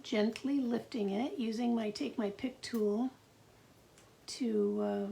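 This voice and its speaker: A middle-aged woman with a gravelly voice, chatting and thinking aloud through a live card-making demo at her craft desk. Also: a little high, slow pace, mellow, a little hiss or hum.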